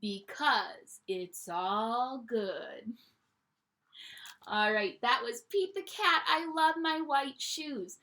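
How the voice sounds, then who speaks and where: A young woman teacher reading a picture book aloud to children at home, in an animated storytime voice that invites them to join in. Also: a little high, very slow. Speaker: primary school teacher.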